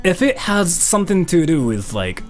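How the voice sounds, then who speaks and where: A man's voice: a little high, big pitch swings, normal speed, soft background music. A man from Japan streaming a live Q&A, rambling with lots of "like" fillers while thanking donors. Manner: rambling, heavy use of "like" fillers. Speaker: live streamer reading donations.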